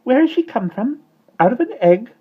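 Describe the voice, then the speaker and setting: A tiny, eager baby bird from a storybook, cheeping shrilly, pitched far above a deep voice. The recording is dull and lo-fi. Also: male voice, big pitch swings, moderate pace, slightly rough, full character voice. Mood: curious. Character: tiny curious fledgling bird, young and eager.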